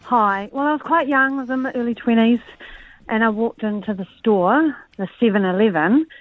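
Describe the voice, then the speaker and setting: A woman calls into a radio show and tells a short story in a plain, matter-of-fact way. Her voice sits a bit high and the line sounds dull and lo-fi, with faint music behind her. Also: normal speed. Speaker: caller. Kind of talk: telling an anecdote.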